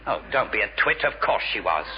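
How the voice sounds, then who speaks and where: A man's voice: fairly low pitch, big pitch swings, brisk. An actor playing an indignant middle-aged English father in a vintage radio sitcom, blustering, sarcastic and full of accusing questions. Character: indignant, accusing heavy father of a teenage girl. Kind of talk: 1950s-60s British radio sitcom acting. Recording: muffled, hissy vintage radio recording.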